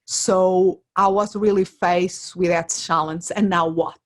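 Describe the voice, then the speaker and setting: A woman's voice: on the low side, steady tone, takes it slowly. A middle-aged woman with a Portuguese accent, speaking as an interview guest and explaining things conversationally through examples and personal stories. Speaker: interview guest, career coach.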